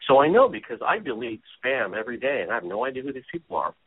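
Animated man on a phone-like line. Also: mid-range voice, fast talker, a little hiss or hum. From Hawaii. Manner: animated, chatty, self-deprecating. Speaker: business strategist guest. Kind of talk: casual talk show interview. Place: at home. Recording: phone-like audio.

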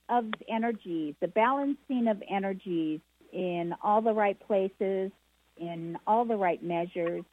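Slow-speaking woman caller on phone. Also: mid-range voice. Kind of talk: gentle spoken prayer request. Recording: dry narrow phone line.

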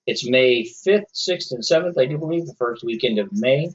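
A man at a middle pitch hosts a talk radio show on his own, speaking straight to his listeners in an earnest, lecturing way. His voice is going a little hoarse. The audio is slightly dull and lo-fi. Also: lively intonation, moderate pace. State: losing his voice. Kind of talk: solo talk radio monologue.

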